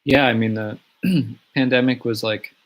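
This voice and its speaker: A man chatting casually from his home on a livestream, answering fan questions in a relaxed, rambling way with many fillers and restarts. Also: mid-range voice, measured pace. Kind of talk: casual live Q&A. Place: home studio in the countryside. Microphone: webcam or phone on a livestream.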